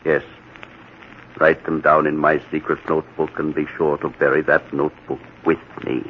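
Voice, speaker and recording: A low, menacing undead magician. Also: male voice, level tone. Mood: grim resolve. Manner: menacing, theatrical, deliberate. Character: vengeful undead executed magician back from the grave. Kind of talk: old-time radio horror acting. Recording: muffled, hissy old radio recording.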